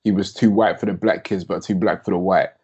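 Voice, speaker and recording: Laid-back young man, low voice. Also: fairly even intonation, fairly quick, mellow, husky, lo-fi sound. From London, England. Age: young adult. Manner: laid-back, slangy, laughs often. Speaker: podcast guest. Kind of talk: casual chat.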